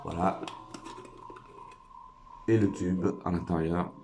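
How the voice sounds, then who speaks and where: A man's voice: low voice, steady tone, slow pace, darkish tone, slight background noise. A man giving a casual hands-on tutorial, informal and hesitant, thinking aloud as he works. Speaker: DIY tutorial maker.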